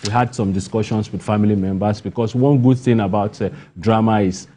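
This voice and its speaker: A man's voice: fairly low pitch, fairly even intonation, a little fast, warm tone, a little hiss or hum. A man with a Nigerian accent giving spontaneous interview answers, emphatic and repeating key phrases for stress. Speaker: interview guest, playwright.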